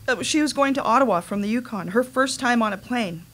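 A woman playing a resentful adult daughter with a halting, earnest delivery in a staged dramatic reading. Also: a little high, fairly bright tone. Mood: earnest storytelling. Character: wounded, resentful adult daughter confronting her mother. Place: small dimly lit stage venue. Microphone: microphone on a stand.

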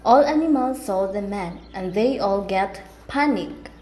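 A woman's voice: mid-range voice, expressive, unhurried, noisy background. A woman narrating a scripted puppet show as a storyteller.

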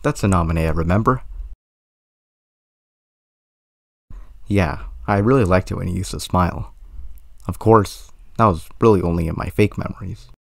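Man as a teen boy hero, low pitch, creaky, sincere, dry close recording. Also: a little fast, dry recording. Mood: upbeat, sincere. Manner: sincere, reassuring. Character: earnest, kind-hearted teenage boy hero. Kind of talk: amateur fan dub of game cutscene.

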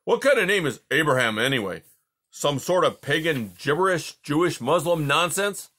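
A man voicing a jolly, domineering Russian tsar who talks loudly and teases. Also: a little high, expressive, moderate pace, voice pushed up. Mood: dismissive. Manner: loud, roaring, teasing.

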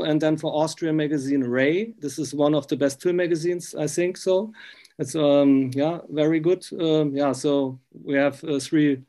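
A middle-aged man with a German accent, chatting as an interviewer in effusive, halting phrases. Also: on the high side, a little mellow. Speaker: interviewer, film journalist. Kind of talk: casual interview conversation.

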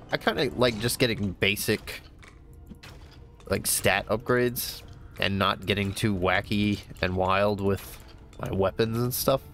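Stuffy-voiced man with a gravelly low voice. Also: fairly bright tone. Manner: thinking aloud, easygoing, upbeat exclamations. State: stuffy, congested voice. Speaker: gamer playing a new game. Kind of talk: casual let's-play commentary. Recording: game music behind.

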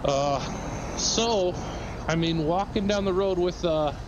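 A man's voice: sits high, slow, muffled recording. A man playing a genial, joking sheriff's deputy in improvised game role-play, casual and somewhat hesitant. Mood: businesslike, friendly. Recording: noisy game voice chat, vehicle ambience.

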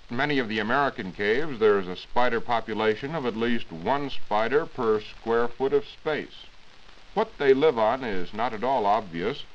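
A man giving a scripted 1940s radio science talk as a reporter, formal and explanatory. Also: mid-range voice. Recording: muffled, hissy 1940s radio recording.

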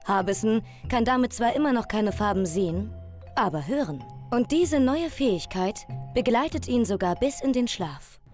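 A woman's voice: fairly high pitch, expressive, moderate pace, darkish tone, soft background music. A woman reading a scripted documentary voice-over in pronunciation. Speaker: documentary narrator.

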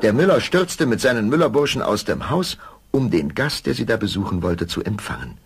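Deep, gravelly storytelling man. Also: very animated, a little fast, a little hiss or hum. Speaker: narrator. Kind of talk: scripted fairy-tale storytelling.

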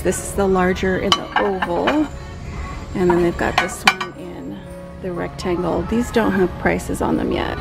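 A woman vlogger walking a store aisle with her phone, calmly listing decor items and their prices. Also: fairly low pitch, creaky. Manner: calm, listing prices item by item. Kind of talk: shop-with-me narration. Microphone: handheld phone camera.

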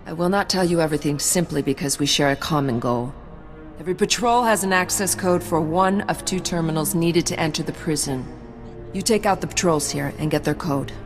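A woman's voice: deep voice, brisk, lightly acted. An actress playing a stern, guarded female warrior in a video game, speaking in a terse, solemn, commanding way. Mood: guarded, businesslike. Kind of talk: video game cutscene acting. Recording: long reverb under game music. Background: music.

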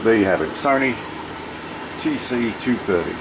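A man's voice: fairly low pitch, lively intonation, normal speed. Man in casual demo, very noisy background. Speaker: presenter demonstrating vintage audio gear. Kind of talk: casual hands-on demo commentary. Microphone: handheld camera microphone. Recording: loud background hum, handheld camera.

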